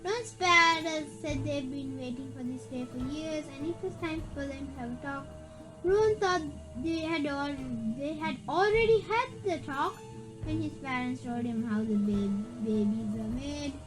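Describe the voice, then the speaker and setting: High-pitched reader stumbling through a story. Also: normal speed, poor audio. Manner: stumbles and repeats words while reading. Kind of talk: reading a children's spooky story aloud. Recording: background music. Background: music.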